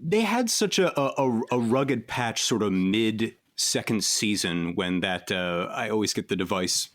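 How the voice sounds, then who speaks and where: A man's voice: lowish voice, lively intonation, measured pace, a bit bright. A man answering as a guest in a casual interview, thoughtful as he pieces his answers together.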